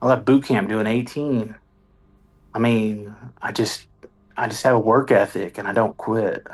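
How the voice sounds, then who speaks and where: A man's voice: on the low side, fairly even intonation, a little slow, breathy edge. A man, a military veteran and songwriter, telling his story in a candid, rambling, self-deprecating way as an interview guest.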